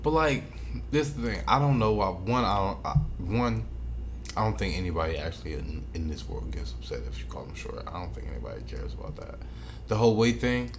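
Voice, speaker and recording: A man's voice: lowish voice, animated, a little fast, creaky. A man co-hosting a podcast in casual chat, speaking in an informal, slangy, opinionated way. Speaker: podcast co-host. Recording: noticeable background noise.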